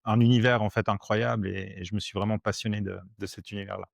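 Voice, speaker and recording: A man in his forties from Switzerland, a podcast guest explaining things simply in conversation, haltingly and with repeated words. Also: lowish voice, fairly even intonation, gravelly, no echo. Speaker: podcast guest, crypto educator.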